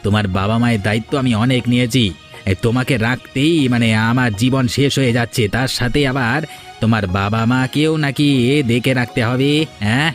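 Cartoon casting: male actor for petty, defensive village husband, medium pitch, grumbly. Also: fairly even intonation, fairly quick, faint music behind, lightly acted. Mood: grumbling, irritated. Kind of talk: Bengali cartoon dialogue.

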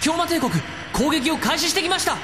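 An actor playing a tense military operator giving clipped, urgent reports in a radio drama. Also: male voice, very high voice, a little fast, noisy background. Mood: alarmed. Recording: reverberant, music behind.

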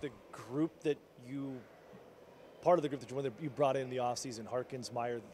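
A man's voice: medium pitch, fairly even intonation, normal speed, dark tone, slight background noise. A man working as a team reporter, asking long, rambling postgame questions full of restarts.

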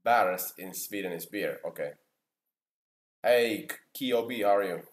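A man's voice: lowish voice, a little slow, echoey room. A young man from Finland, a casino streamer chatting casually and answering viewers' chat messages. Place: small room indoors.

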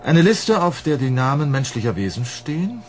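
An actor playing a menacing police inspector in a German radio drama, formal, sardonic and commanding. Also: male voice, medium pitch, sing-song, normal speed, faint music behind, lightly acted. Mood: sardonic, accusing.

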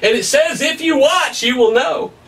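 A man in his thirties preaching a Bible study at a whiteboard, emphatic and excitable, his voice somewhat hoarse. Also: very high voice, moderate pace, distant mic. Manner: emphatic, rising to excited peaks, laughs at his own points. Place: small room in front of a whiteboard. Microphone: camera at a distance.

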